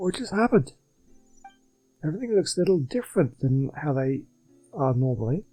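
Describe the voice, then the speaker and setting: Male let's-play commentator: husky, soft-edged dark tone, casual and understated. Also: fairly high pitch, big pitch swings, normal speed, poor audio. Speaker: gamer commentating on a playthrough. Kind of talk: casual game commentary, reading on-screen text aloud. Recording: music behind the voice.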